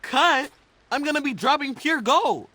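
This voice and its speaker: A man playing an upbeat, cocky teenage mutant turtle in an animated game, breezy and boastful. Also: very high voice, unhurried, exaggerated voice. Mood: excited, boastful.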